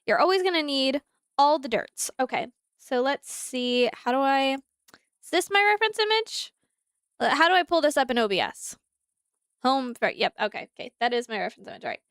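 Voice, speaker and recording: A woman's voice: sits high, very animated, brisk, a bit bright, no echo, clean studio sound. A woman streaming a game live, chatting to her viewers and the game's animals in a rambling way, slightly nasal from congested sinuses. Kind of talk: casual live gameplay chat. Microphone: desk mic at a computer.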